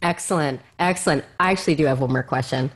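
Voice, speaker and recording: A woman hosting an interview podcast in an effusive, expressive way. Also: low-pitched, fast, breathy edge. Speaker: podcast host. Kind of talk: warm interview chat and show sign-off.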